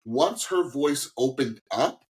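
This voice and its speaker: A man co-hosting a music podcast, giving casual, opinionated commentary on singers in a halting way. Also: medium pitch, lively intonation. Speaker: podcast co-host, singing critic.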